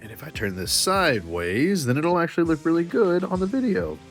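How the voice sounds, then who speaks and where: A man's voice: a little high, lively intonation, a little fast, faint music behind. A man talking casually and enthusiastically to viewers like a geeky fan vlogger, chatting through handheld virtual pet games.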